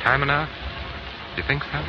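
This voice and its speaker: A man's voice: medium pitch, fairly even intonation, moderate pace, highs cut off, lightly acted. A man playing an eager, hot-headed young machinery dealer in a 1940s radio drama, with a boyish, folksy manner. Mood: wary. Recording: noisy 1940s radio recording.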